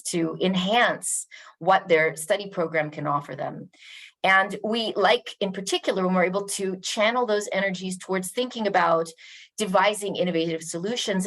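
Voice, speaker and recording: A woman from Canada who works in a university's international office, giving a measured webinar presentation with frequent ums and uhs. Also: fairly low pitch, gravelly.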